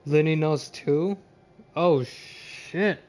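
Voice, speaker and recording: A man doing casual, profane live game commentary as a streamer, often sounding confused and reacting out loud. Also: on the high side, extremely slow. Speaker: video game livestreamer.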